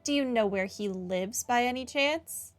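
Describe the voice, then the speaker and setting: A woman's voice: a little high, unhurried, soft background music. A woman hosting a game playthrough, giving casual commentary with wry, sarcastic asides. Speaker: video game playthrough host. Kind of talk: casual game commentary, reading dialogue aloud.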